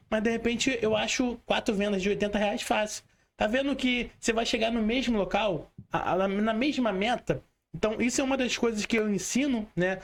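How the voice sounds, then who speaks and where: A woman with a Brazilian accent hosting a podcast, explaining things conversationally and didactically, posing questions and answering them herself. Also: on the high side. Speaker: podcast host and trainer. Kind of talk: conversational teaching, interview.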